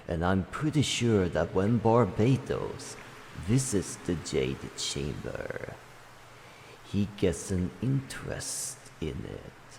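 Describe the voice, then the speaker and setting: A man voicing an ancient, wise and protective dragon in a fantasy game roleplay, speaking in grave, formal phrases with deliberate pauses. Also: deep voice, gravelly, a little mellow, full character voice. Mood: musing, approving. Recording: long cavernous reverb, faint noise.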